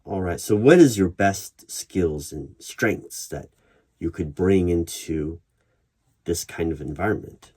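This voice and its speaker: A man with a very low, husky voice performs an ASMR interview roleplay. He questions in a measured, probing manner, leaving deliberate pauses as he goes. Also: animated, a little mellow. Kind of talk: ASMR roleplay, one-sided job interview. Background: computer keyboard typing.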